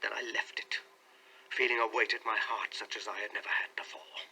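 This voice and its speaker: A man's voice: on the low side, flat delivery, brisk, pushed and bright, slightly rough, full character voice, rough recording. A man playing an anguished, suspicious husband who recounts his troubles in an intense, earnest audiobook reading. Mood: bitter, hurt. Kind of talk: dramatic audiobook reading in character.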